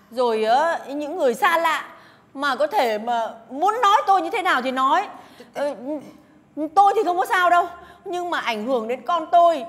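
A woman's voice: on the high side, in a large hall, natural voice. An actress playing a distraught mother crying and pleading about her child. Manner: tearful, pleading. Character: distraught mother who livestreams, weeping over her child.

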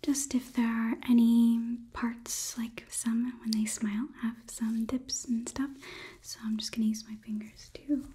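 Softly whispering female robot played by a young woman. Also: on the high side, level tone, lightly acted. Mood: calm, clinical. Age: young adult. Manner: gentle, slow, methodical whisper. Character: polite clinical female robot overlord examining a human. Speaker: ASMR performer. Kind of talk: whispered ASMR roleplay. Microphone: close ASMR microphone.

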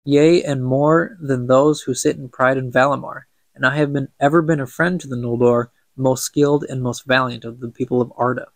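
A man voicing a cunning, proud dark god from a fantasy book, speaking in grand, persuasive archaic speech. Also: medium pitch, steady tone, normal speed, few pauses, dry recording, natural voice. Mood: coaxing, proud.

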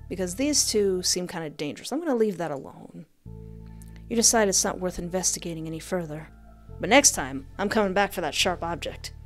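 A playful, expressive woman doing a let's play, reading game text aloud and commenting, close on a desk microphone. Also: on the low side. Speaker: gaming streamer. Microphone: close desk microphone with headset. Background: game music.

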